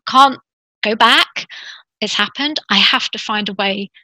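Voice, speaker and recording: A woman's voice: medium pitch, unhurried, bright, forceful tone, no echo, low-quality recording. A woman talking in an earnest, encouraging way, with frequent restarts and fillers. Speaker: guest. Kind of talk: casual conversation.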